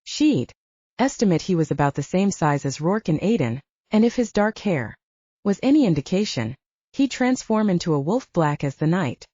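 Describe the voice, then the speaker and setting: A woman's voice: lowish voice, sing-song, normal speed, mellow, breathy edge, dry recording, highs cut off. A woman reading fiction aloud as a scripted audiobook narration.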